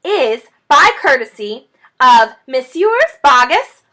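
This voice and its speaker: A woman's voice: high-pitched, sing-song, takes it slowly, bright, forceful tone, voice pushed up, exaggerated voice. Woman doing a rousing fox leader. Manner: grand, rousing speechmaker. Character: proud, cheerful male fox leader.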